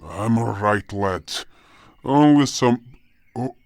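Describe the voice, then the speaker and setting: A man plays a small, hairy guardian creature from a fantasy audio drama, gruff and quick to flare up. He blusters and exclaims in a slow, low male voice with a dry close sound. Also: expressive, a little mellow, no room sound. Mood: earnest.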